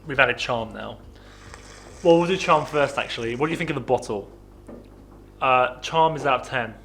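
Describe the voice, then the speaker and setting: A man's voice: medium pitch, moderate pace, creaky, fairly bright tone, a little hiss or hum. A young man co-hosting a casual podcast, chatting and joking with lots of ums and laughs. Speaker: podcast co-host. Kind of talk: casual chat over beer.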